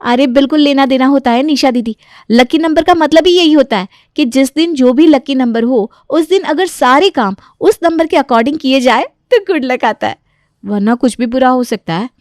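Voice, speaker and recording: A woman's voice: high voice, normal speed, a little mellow, no room sound, studio quality, natural voice. A woman playing an earnest, superstitious young bride who explains insistently in a cartoon story.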